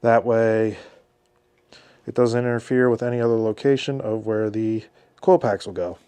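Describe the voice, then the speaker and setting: A man's voice: on the low side, steady tone, moderate pace, dark tone, clear, resonant tone. A congested man with a head cold narrating his car build in a cluttered garage, laid-back and thinking aloud with restarts. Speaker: car builder filming his shop project. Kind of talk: casual how-to narration. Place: cluttered home garage shop.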